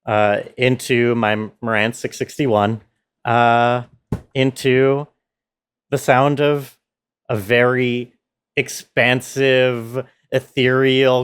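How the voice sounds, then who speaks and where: A man walks listeners through audio production in a casual, explanatory way. He takes his time, with a medium-pitched voice. The recording is close and dry, with very little room sound. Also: clear, resonant tone, no echo. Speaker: sound designer presenting his own work. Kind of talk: casual tutorial walkthrough.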